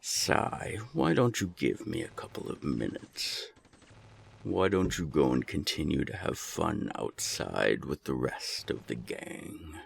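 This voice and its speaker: A menacing serpent boss from an audio drama, with a heavily creaking growl. He drawls slowly, toying with those he looks down on, and his tone slides up and down with contempt. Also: very deep voice, sing-song, a little hiss or hum, full character voice. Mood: condescending. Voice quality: gravelly, husky. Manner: slow, taunting, deliberate. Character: menacing naga gang boss, sly and contemptuous of humans. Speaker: gang boss. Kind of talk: audio roleplay acting.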